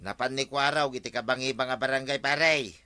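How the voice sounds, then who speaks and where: Man playing bright-voiced, gossipy Ilocano villager. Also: mid-range voice, steady tone, a little fast, clear, resonant tone, dry recording, natural voice. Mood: inquisitive. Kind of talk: radio comedy drama acting.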